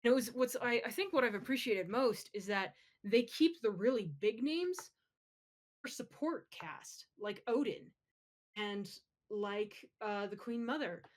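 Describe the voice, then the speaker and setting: A woman's voice: a little high, expressive, measured pace. A woman co-hosting a podcast in casual pop-culture chat, conversational and opinionated. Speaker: podcast co-host.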